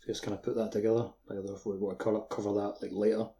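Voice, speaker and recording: A man's voice: fairly low pitch, little pitch movement, rapid-fire, mellow. A man on a podcast, chatting casually about video games with his co-hosts. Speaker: podcast host. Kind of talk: casual video game discussion.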